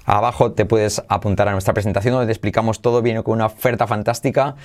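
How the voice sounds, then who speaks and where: A man teaching English pronunciation, drilling phrases slowly and over again with playful asides. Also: on the low side, steady tone, slight background noise. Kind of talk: lively pronunciation lesson.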